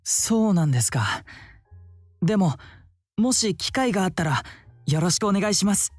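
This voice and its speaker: A man's voice: sits high, lively intonation, fast talker, no room sound, soft background music. An actor playing a timid, polite young man in a Japanese visual novel, speaking hesitantly and earnestly. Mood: impressed, eager.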